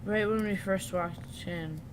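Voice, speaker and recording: A woman with a mid-range voice talks slowly and easily while playing a game, dry and unbothered, with a faint hiss in the background. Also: mid-range voice. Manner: laid-back, dry, swears casually. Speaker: co-player. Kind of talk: casual gameplay chat.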